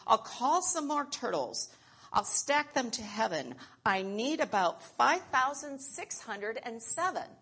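A woman voicing a pompous, bossy male turtle king in a storybook, loud, commanding and boastful. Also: on the high side, big pitch swings, a little slow, slightly rough, heavily acted. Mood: indignant.

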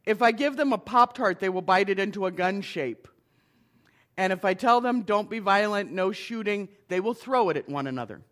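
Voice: mid-range voice, lively intonation, normal speed, a bit bright. A middle-aged minister giving a conversational lecture to an audience, with wry asides.

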